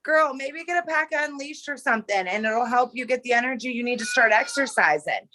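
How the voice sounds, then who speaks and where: A woman hosting a live call, giving her team an emphatic and energetic pep talk. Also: a little high, brisk. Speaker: team leader, host.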